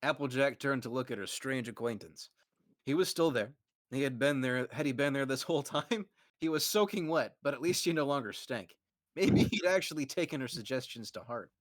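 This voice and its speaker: A man's voice: mid-range voice, expressive, moderate pace, dry recording. Man, fanfic reader, narrating aloud, laughs while reading, dry close sound.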